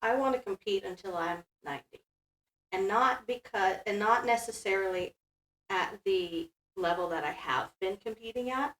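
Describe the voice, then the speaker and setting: A woman's voice: mid-range voice, normal speed, a bit bright. A woman in casual conversation on a podcast, talking as a competitive powerlifter. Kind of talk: casual interview chat. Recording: echoey room, distant from mic.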